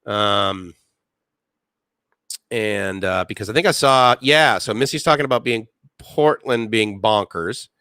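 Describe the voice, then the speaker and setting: A man's voice: on the low side, takes it slowly, bright, forceful tone, clear, resonant tone, no echo, clean studio sound. A man, hosting a casual live stream, rambling with many fillers and reading chat comments aloud.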